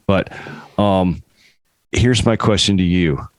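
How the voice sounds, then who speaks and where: A man's voice: low-pitched, takes it slowly, no room sound. A young man hosting a podcast, carefully setting up long interview questions. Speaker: podcast host.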